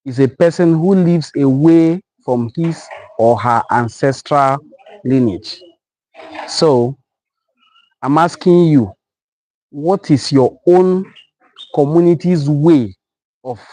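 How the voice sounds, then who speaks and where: A man with a Nigerian accent, a native Igbo speaker, hosting a live-stream talk and deliberately repeating his appeals to viewers. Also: medium pitch, dark tone, dry recording, low-quality recording. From western Igbo land, Nigeria. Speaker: host of a cultural forum live broadcast.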